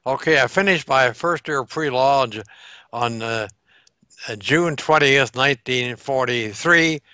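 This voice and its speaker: A man in his nineties, a war veteran, recalling his past slowly over a video call, pausing to get dates right. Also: mid-range voice, pushed and bright, clear, resonant tone, dry recording. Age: 90s. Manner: slow, reflective recollection with pauses to recall dates. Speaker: World War Two veteran interviewee. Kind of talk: oral history interview over video call. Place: home room by a staircase. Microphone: headset on video call.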